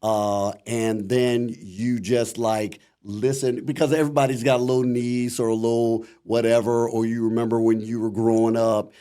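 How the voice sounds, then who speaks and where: Man, middle-aged, Midwestern accent, deep, warm dark tone, reflective casual interview talk. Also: little pitch movement, normal speed, few pauses. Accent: Midwestern American. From Akron, Ohio, USA. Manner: rambling, many "you know" fillers, reflective. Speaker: voice actor guest.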